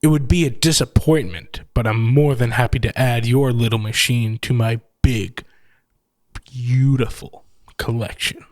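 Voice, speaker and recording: Man playing a slow, menacing, smug villain. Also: medium pitch, fairly even intonation, no room sound, close to an everyday voice. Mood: cold threat. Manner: slow, deliberate, softly threatening. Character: menacing smug villain who collects machines of his victims.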